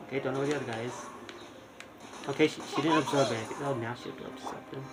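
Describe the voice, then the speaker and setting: Male game streamer, mid-pitched, casual and muttering running commentary, with loud game music underneath. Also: fairly even intonation, racing, rough recording. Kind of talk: casual live gameplay commentary. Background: loud video game music.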